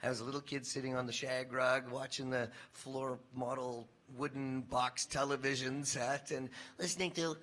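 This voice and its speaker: A man with a bright, mid-pitched voice speaks on a convention panel. He tells his stories in an animated, rambling way, and he often breaks off and restarts his sentences. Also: moderate pace, hardly any pauses. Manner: animated, rambling, self-interrupting. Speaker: voice actor panelist.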